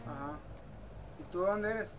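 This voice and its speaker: A Mexican-accented gamer talks casually over voice chat. The speech is slangy, questioning and hesitant, at a middling pitch. Game gunfire and clear noise run behind it. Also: a little slow. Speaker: online gamer, video maker. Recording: noisy game audio with gunfire. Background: video game gunfire.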